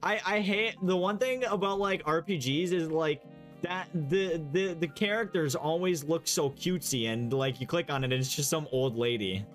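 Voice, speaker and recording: A man's voice: fairly high pitch, normal speed, soft background music. A young man chatting casually and rambling while playing a game on stream, swearing often, on a headset. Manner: rambling, swears, laughs a lot. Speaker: gaming streamer. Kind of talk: casual let's-play chat.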